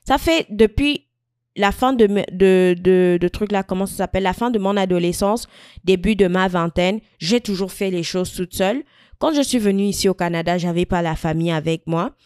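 A woman in her mid-twenties from Equatorial Guinea, talking thoughtfully to camera in a personal vlog, with frequent pauses and restarts. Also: medium pitch, darkish tone, clear, resonant tone, no echo, studio quality. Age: 20s. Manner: thoughtful, searching, many fillers and restarts. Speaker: vlogger. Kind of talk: reflective personal talk to camera.

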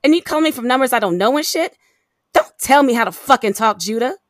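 Woman acting a fed-up American woman, swinging pitch. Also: animated, normal speed, dry recording, studio quality. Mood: furious, defiant. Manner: blunt, emphatic. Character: fed-up, fiercely defiant young woman facing abusive ex. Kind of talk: dramatic audio drama acting.

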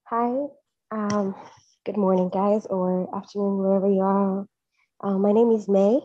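Soft-spoken woman, flat delivery. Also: medium pitch, no room sound. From Kenya. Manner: earnest, halting, many restarts. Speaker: guest artist, photographer. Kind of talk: casual group call chat. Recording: dull lo-fi online call audio.

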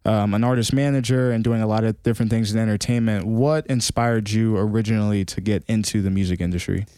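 Male co-host who leads the questions, relaxed and curious, lowish voice on a dry mic. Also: fast talker, clean tone, no room sound. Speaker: podcast co-host, interviewer. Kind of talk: casual interview questions. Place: podcast studio.

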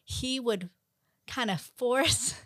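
A woman from the United States, a podcast guest telling stories in an animated, chatty way, laughing often and drifting into tangents. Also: a little high, extremely slow, no room sound, clean studio sound. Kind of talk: casual storytelling interview.

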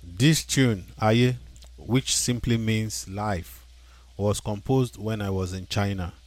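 A man telling his own story as a musician guest on a radio show, speaking earnestly and unhurriedly with plain phrasing and pauses. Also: fairly low pitch.

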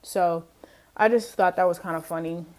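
A woman's voice: lowish voice, fairly even intonation, moderate pace, a little mellow. A young adult woman hosting her own podcast, chatting casually and laughing often as she talks to listeners. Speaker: podcast host. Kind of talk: casual solo podcast chat.

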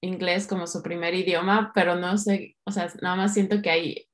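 A woman talks things through in a relaxed chat. She explains at length and restarts her sentences as she thinks. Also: fairly low pitch, moderate pace. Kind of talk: casual chat.

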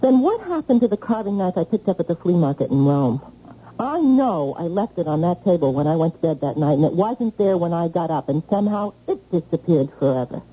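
A woman's voice: on the low side, very animated, brisk, warm tone. An actress playing a hurt, insistent young woman in love, confronting her boyfriend emphatically in an old-time radio drama. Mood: accusing. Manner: emphatic, accusing, plain-spoken. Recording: muffled old radio recording.

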